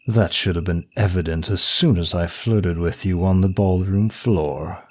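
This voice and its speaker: Man acting as demon prince of lust, low-pitched, slow, seductive, arrogant, suave, taunting, intimate ASMR roleplay. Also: darkish tone, breathy edge, heavily acted. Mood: proud, scornful. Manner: smug, taunting, suave, deliberate.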